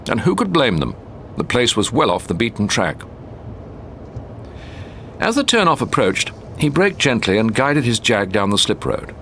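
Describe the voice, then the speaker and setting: A British man reading a short story as an audiobook narrator, in a measured, expressive storytelling manner. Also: fairly low pitch, slight background noise.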